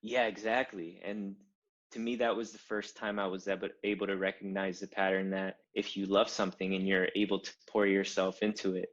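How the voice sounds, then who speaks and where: Man from Cuba, thirties, very low pitch, dull lo-fi recording, emphatic storyteller. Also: a little fast. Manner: animated storyteller, builds to emphatic points. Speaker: guest, design founder. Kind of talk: conversational interview.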